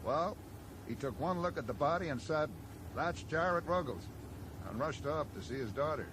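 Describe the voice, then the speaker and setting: A man's voice: fairly high pitch, animated, moderate pace, natural voice. A man playing a blunt, probing small-town American sheriff in a radio drama, speaking in a folksy, matter-of-fact way. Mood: probing, suspicious. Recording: noisy 1980s radio drama broadcast.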